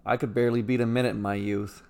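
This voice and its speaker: Man reading as measured, thoughtful older adventurer. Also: mid-range voice, level tone, vocal fry, a little mellow, clear, resonant tone, lightly acted. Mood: calculating. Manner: measured, explanatory. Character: thoughtful, calculating older male reluctant adventurer. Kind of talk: fantasy storytelling character voice.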